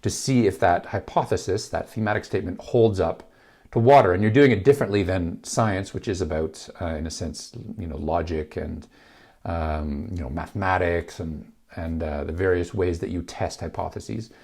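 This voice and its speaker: A man in middle age talks casually to camera from a large office space. His voice sits a little low and still carries some leftover gravel from being ill. He rambles, circling back with fillers and restarts as he thinks aloud. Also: normal speed, a little mellow. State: slightly gravelly from illness. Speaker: filmmaking channel host.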